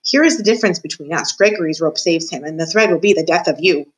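A woman's voice: low voice, fast talker, close to an everyday voice, rough recording. Woman voicing a grandiose, menacing old jailer for a storybook read-aloud. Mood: gloating. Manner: menacing, theatrical, speaks of himself by name. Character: old male dungeon jailer, creepy and self-important.

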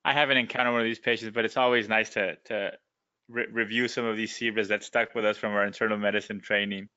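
Man, podcast host, physician, polite, many fillers, forceful tone, dull lo-fi sound. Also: on the low side, flat delivery, quick pace, clear, resonant tone, no echo. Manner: courteous, uses many fillers. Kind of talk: podcast interview.

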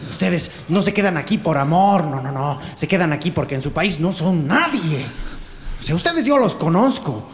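A jittery Bolivian henchman in a radio drama, his voice on the high side. He interrogates aggressively, circling and repeating his accusations. The sound has long reverb and some background noise. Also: big pitch swings, normal speed. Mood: accusing, taunting. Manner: rambling, repetitive, aggressive interrogation. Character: jittery, accusing male henchman of a crooked butcher.

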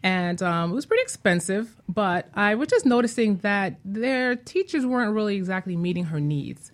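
A woman's voice: mid-range voice, lively intonation, moderate pace. A woman speaking as a guest in a conversational interview, explaining things as a parent.

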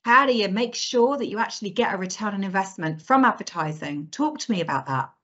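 A woman's voice: mid-range voice, expressive, fairly quick, sharp tone, dull audio. A woman hosting a podcast, upbeat and jokey as she introduces the topic and puts questions to her guest. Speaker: podcast host.

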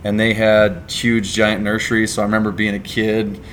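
A young man from California, a business founder telling his story in a casual interview, rambling and relaxed with lots of "like" and "right?". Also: fairly low pitch, moderate pace, a little hiss or hum.

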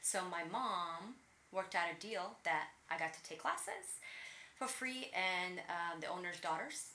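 Lively woman from Latvia, bright voice. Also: lowish voice, a little slow, roomy sound, a little hiss or hum. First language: Russian. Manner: energetic, laughs often, animated. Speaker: guest, personal trainer. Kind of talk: casual interview storytelling.

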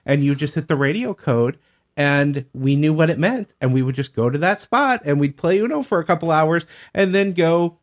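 A man's voice: a little high, lively intonation, moderate pace. A man telling anecdotes in a casual, chatty podcast conversation. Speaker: podcast regular telling stories.

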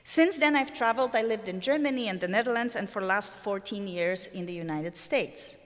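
A woman with a Polish accent, an organist in her forties, giving an earnest spoken introduction to a recital and pausing often with fillers. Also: mid-range voice. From Poland. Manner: earnest, thoughtful, pauses with fillers. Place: university chapel. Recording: long reverb of a large hall.

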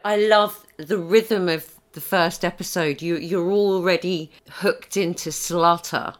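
A woman's voice: on the low side, fairly even intonation, slow. A woman who works as a voice actor, talking casually in an interview. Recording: music behind the voice.

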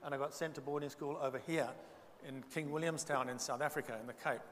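A man's voice: a little high, steady tone, fast, a bit bright, slight background noise. Older man giving testimony. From Zambia. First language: Bemba. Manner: humorous, self-deprecating storytelling. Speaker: guest speaker giving testimony. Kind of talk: church testimony talk. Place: church hall. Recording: reverberant hall, faint ambience.

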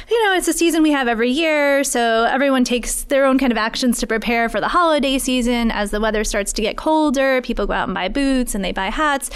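A woman with a fairly high, very clean and smooth voice speaks as a podcast guest. She explains her team's work in a conversational spokesperson's style. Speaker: press secretary, podcast guest. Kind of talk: explanatory interview answers.

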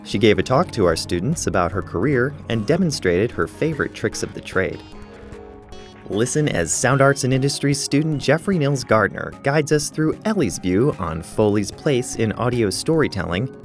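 A man's voice: medium pitch, lively intonation, moderate pace. A man hosting an audio newsletter, reading a scripted introduction. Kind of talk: scripted announcement. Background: music.

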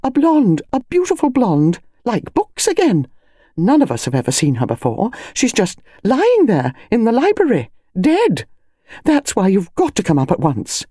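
A woman voicing a breathless, gushing, excitable upper-class older lady in an audiobook. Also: a little high, normal speed, slightly rough, no echo, studio quality, voice pushed up, exaggerated voice. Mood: breathless excitement. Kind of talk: audiobook character voice.

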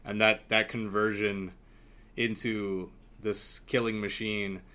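A man with a fairly low voice talks in a relaxed, conversational film discussion. He is enthusiastic but halting, often rambling as he works through his thoughts. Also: smooth. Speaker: podcast panelist.

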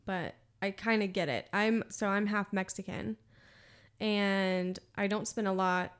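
A woman hosting a podcast from the United States, chatting casually, animated and emphatic, laughing often. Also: mid-range voice, measured pace, smooth. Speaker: podcast host. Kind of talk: casual conversation.

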